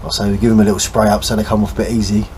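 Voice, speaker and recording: A man's voice: lowish voice, little pitch movement, very fast. An English man hosting a boat restoration vlog, chatting casually and explaining the job as he works in an informal, blokey way. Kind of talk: casual hands-on vlog chat. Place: on and around a small boat. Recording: background noise on location.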